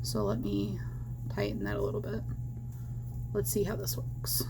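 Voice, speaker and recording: A woman chatting casually while she teaches crochet at home, rambling with fillers and small laughs, her voice slightly hoarse because she is losing it. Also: fairly low pitch, big pitch swings, moderate pace, background noise. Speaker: crochet vlogger. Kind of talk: casual crochet tutorial chat.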